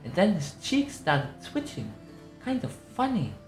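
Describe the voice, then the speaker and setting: A woman's voice: fairly low pitch, wildly swooping pitch, unhurried, faint music behind. A woman voicing an elderly matriarch who recounts a tragic night as a folksy, dramatic storyteller. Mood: grave, dramatic. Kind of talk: dramatic reading of a game newspaper. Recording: roomy echo.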